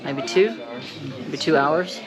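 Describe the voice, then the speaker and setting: A woman vlogger speaking candidly to camera, upset and anxious, with hesitant pauses. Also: sits low, over a noisy crowd. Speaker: travel vlogger. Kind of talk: candid vlog talk to camera.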